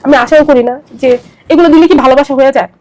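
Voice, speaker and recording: A young woman with a Bangladeshi accent shares a personal account in an interview. Her voice sits fairly high and she talks quickly, repeating herself for emphasis as the story pours out. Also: darkish tone. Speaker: interview guest.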